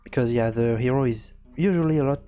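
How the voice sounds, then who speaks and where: A man hosting a casual screencast programming tutorial, thinking aloud in a halting way and often repeating words as he goes. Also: medium pitch, warm tone, faint music behind. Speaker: tutorial host and game developer.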